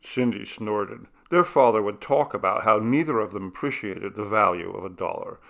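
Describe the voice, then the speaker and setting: A man reading short fiction aloud as an audiobook narrator, in an expressive storytelling manner with a gravelly voice. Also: lowish voice, normal speed. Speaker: audiobook reader.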